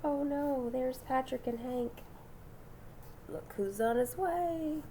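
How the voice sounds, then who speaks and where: A woman's voice: high-pitched, slow pace, warm tone, a little hiss or hum. A woman reading a children's storybook aloud dramatically, with asides and questions to her young listeners. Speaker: storybook reader.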